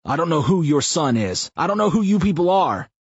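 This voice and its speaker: A man's voice: fairly high pitch, sing-song, measured pace, a bit bright, husky, no echo, voice pushed up, exaggerated voice. A male narrator voicing a frightened man who stammers and pleads in an audiobook. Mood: scared, pleading. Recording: muffled lo-fi audio.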